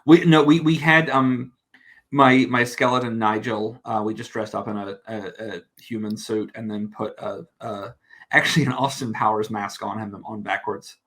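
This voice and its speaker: A man's voice: on the low side, fairly even intonation, normal speed, fairly bright tone. Rambling man with a hard-to-place accent. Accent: blended New Zealand and American. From New Zealand. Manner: rambling, self-deprecating, frequent restarts. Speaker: guest. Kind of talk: casual chat.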